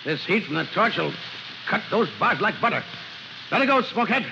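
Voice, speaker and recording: A man acting a brisk, gruff fire captain who barks short practical orders in a 1940s radio drama. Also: high voice, expressive. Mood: brisk, commanding. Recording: noisy muffled 1940s radio recording.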